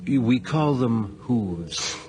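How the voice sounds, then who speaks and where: Casting: secretive rural man, mid-pitched, swooping intonation, terse and eerie, horror radio play with noisy sound. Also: animated, extremely slow. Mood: dismissive. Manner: terse, evasive, quietly insistent. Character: eerily calm, secretive backwoods country man. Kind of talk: 1980s radio horror drama acting. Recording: noisy archived radio drama.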